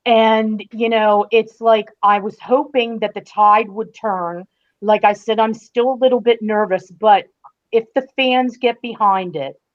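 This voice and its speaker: A woman in a casual podcast discussion, explaining things emphatically as a guest critic and stretching out stressed phrases. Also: a little high. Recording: dull, lo-fi call audio.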